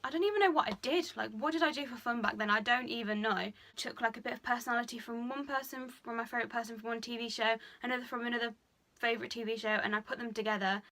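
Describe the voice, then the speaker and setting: A young woman talking frankly to camera in a personal vlog, chatty and full of fillers, speaking a little lower than she usually does. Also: fast talker, bright, forceful tone. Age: young adult. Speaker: vlogger. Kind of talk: candid personal confession.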